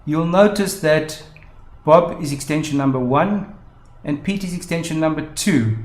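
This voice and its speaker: A man presenting a software tutorial, explaining methodically step by step. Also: fairly high pitch, unhurried. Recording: roomy, echoing voice-over.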